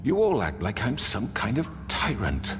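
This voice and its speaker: An actor playing a smooth, manipulative crime boss in a video game, with measured, theatrical speechifying. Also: male voice, fairly low pitch, wildly swooping pitch. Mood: self-righteous. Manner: measured, theatrical, silky self-righteous speechifying. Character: smooth, manipulative crime boss, self-justifying older man. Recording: reverberant hall sound under loud music.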